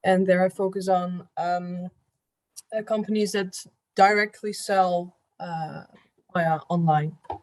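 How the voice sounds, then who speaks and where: A woman's voice: fairly low pitch, fairly even intonation, slow pace, a little mellow. A woman business student introducing her research on a video call in an easygoing way.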